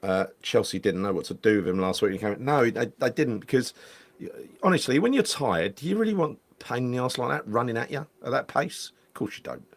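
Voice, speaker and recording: A man's voice: medium pitch, lively intonation, brisk. A man, hosting a casual football chat from home over a video call, rambling and repeating himself as he reads viewer comments. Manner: chatty, rambling, repeats phrases. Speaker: podcast host. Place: home room.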